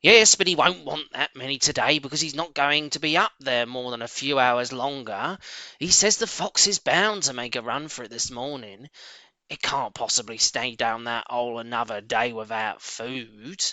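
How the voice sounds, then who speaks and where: A man's voice: mid-range voice, normal speed, bright, forceful tone, no echo. A man voicing an impatient, bossy farmer's wife in a storybook, calling out brusque orders.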